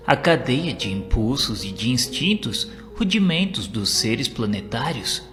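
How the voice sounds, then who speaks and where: A man with a Brazilian accent reading devotional stories and poetry as a narrator, in a solemn, expressive, declamatory way. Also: medium pitch. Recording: long reverb, guitar music behind. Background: plucked guitar music.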